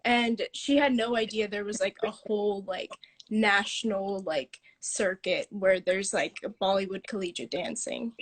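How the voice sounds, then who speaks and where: A woman's voice: mid-range voice, unhurried. A teenage girl answering questions as a dance student on an online live chat, thoughtful and full of fillers. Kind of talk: casual interview answers.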